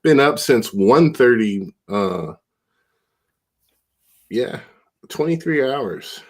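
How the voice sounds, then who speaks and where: A man's voice: medium pitch, animated, very slow. A man hosting a casual podcast, stuffed up and tired, talking in a loose, profane, rambling way. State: congested, stuffed-up. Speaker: podcast host.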